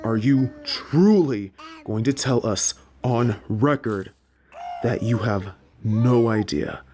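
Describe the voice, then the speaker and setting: A man playing a formal, dryly exasperated professor at a magic school in a fantasy audio drama, speaking in a measured way. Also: medium pitch, a little mellow, slight background noise, close to an everyday voice. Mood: skeptical, exasperated.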